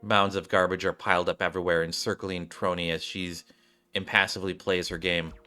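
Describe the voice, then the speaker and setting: A man's voice: deep voice, flat delivery, brisk, bright, forceful tone, soft background music. A man reading video game story scenes aloud as dramatic narration with casual asides, sometimes tripping over the wording.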